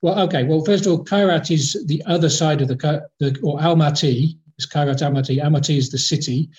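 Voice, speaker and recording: A man's voice: on the high side, fairly quick, darkish tone. A man with a slightly raised voice talks as a guest about football, rambling along with frequent ums and uhs and restarting his phrases. The sound is dull and lo-fi, like a remote call line. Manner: rambling, hesitant, many fillers and restarts. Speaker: former football club chief executive, guest. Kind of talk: casual podcast interview. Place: in the capital of Kazakhstan.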